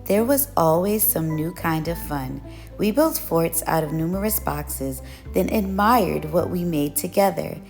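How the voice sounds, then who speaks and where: A woman reading a picture book aloud as a storyteller, with expressive, measured narration. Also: lowish voice, creaky, soft background music. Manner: measured storytelling, acts out children's quoted calls.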